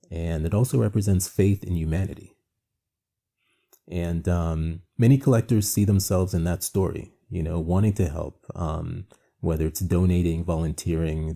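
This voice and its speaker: A man's voice: low-pitched, dark tone. A man from Jamaica hosting an art presentation, talking in a conversational, reflective and earnest way with frequent asides. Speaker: art show host and founder.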